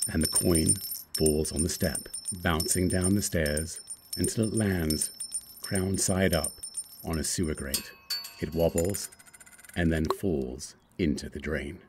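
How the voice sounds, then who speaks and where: A man's voice: low voice, background noise. A man reading screenplay stage directions as scripted narration.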